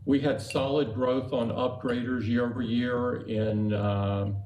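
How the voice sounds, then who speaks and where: A man in his sixties presenting quarterly results on an earnings call, measured and upbeat, with some hesitations when answering questions. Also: medium pitch, little pitch movement, a little mellow, slight background noise, poor audio. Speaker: company chief executive on an earnings call. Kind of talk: scripted earnings remarks, then unscripted answers.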